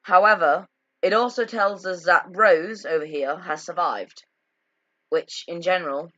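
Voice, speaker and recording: A young-sounding gamer giving casual commentary on a game's story in a halting way, often repeating and correcting words, on a lo-fi microphone. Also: medium pitch, bright, forceful tone, no echo. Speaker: gaming video narrator. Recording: dull lo-fi microphone.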